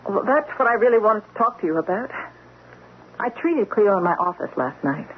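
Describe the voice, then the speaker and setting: A woman's voice: medium pitch, very animated, moderate pace. An actress playing an earnest, troubled woman doctor in a radio drama, speaking in a hesitant, conversational way. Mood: troubled, sad. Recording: dull, noisy old radio recording.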